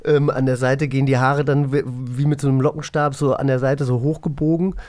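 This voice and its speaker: A man's voice: medium pitch, level tone, fairly quick, a little mellow. A man hosting a casual podcast, talking in a chatty, rambling way as he explains and asks questions. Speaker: podcast host and quizmaster. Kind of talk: casual radio play podcast talk.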